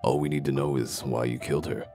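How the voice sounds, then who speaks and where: A man playing a gruff, foul-mouthed wolf detective in a comic dub, questioning people bluntly and accusingly. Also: fairly even intonation, quick pace, warm tone, soft background music. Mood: accusing, sardonic. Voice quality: very deep, gravelly.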